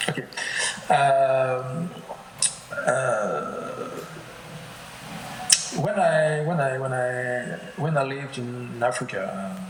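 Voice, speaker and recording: A man answering as guest artist over a video call, halting and searching for words. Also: medium pitch, roomy sound, background noise.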